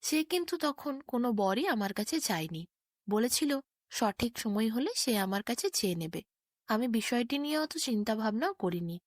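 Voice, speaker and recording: A troubled, fatherly king of a fairy tale read by a woman speaks with formal earnestness. The voice is fairly high and recorded very dry. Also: animated, normal speed, no room sound. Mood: grave, worried. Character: aging king, troubled loving father, solemn and principled.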